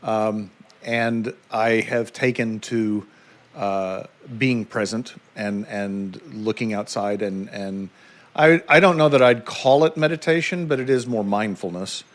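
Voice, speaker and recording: A man hosting a podcast interview, talking in a halting, thoughtful way with many restarts. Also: fairly low pitch. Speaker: podcast host. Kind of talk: conversational interview.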